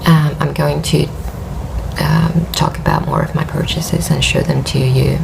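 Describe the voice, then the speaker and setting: Woman, Finnish accent, low and croaky, worn out, halting with fillers, audible background noise. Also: huge pitch swings. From Finland. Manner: hesitant, rambling with many fillers and soft laughs. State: tired, voice worn. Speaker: knitting podcast host. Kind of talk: casual vlog chat.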